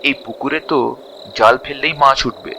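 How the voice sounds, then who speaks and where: A man narrating a scripted ghost story dramatically, with suspense and drawn-out pauses between short phrases. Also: medium pitch, steady tone, gravelly, bright, forceful tone, a little hiss or hum.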